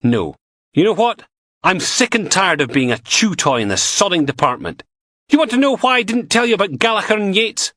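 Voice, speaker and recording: A man's voice: high-pitched, very animated, slow, hardly any pauses, warm tone, no echo, clean studio sound, voice pushed up, exaggerated voice. A man voicing a weary, exasperated male detective sergeant. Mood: fed up.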